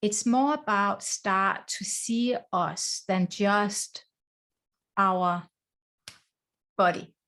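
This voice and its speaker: A woman's voice: medium pitch, extremely slow, pauses often, fairly bright tone. Earnest woman. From Denmark. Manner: earnest, reflective, speaks in short phrases. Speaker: guest, life coach. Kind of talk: conversational interview. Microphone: video call.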